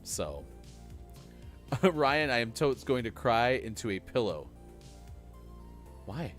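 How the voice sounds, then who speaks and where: Upbeat male stream host with a lively voice over faint music. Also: mid-range voice, slow. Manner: upbeat, reads daily trivia, laughs often. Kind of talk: casual chat on a morning gaming stream.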